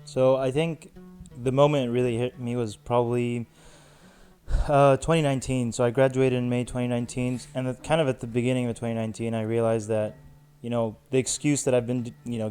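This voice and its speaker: A man in his twenties, a podcast guest speaking in a measured, reflective way with many "you know"s. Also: mid-range voice, warm tone, smooth. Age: 20s. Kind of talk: casual chat.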